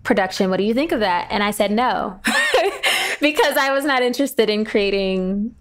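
A woman's voice: a little high, sing-song, normal speed. A woman playwright talking as an interview guest, telling of her work. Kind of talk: storytelling in an interview.

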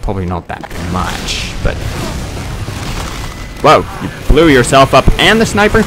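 A man's voice: mid-range voice, huge pitch swings, a little slow, music in the background. A man giving casual, improvised gameplay commentary, rambling in a self-deprecating way and often repeating himself. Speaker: gaming video creator. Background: video game sounds.

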